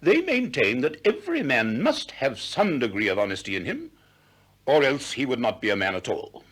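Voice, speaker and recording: An actor playing a self-assured, eloquent ancient Greek teacher in a 1960s radio drama, with an expansive lecturing manner. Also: male voice, medium pitch, sing-song, moderate pace, a bit bright, breathy edge. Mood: confident lecturing.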